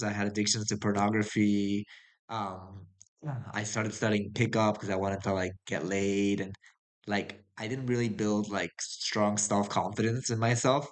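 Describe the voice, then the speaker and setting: Low, flat-voiced young man rambling. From United States. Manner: rambling, halting, many fillers and restarts. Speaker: vlogger. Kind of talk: casual personal monologue.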